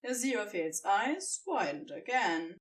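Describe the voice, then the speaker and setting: A woman reading scripted fan fiction narration in an expressive storytelling manner. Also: mid-range voice, a little slow, recorded from a distance.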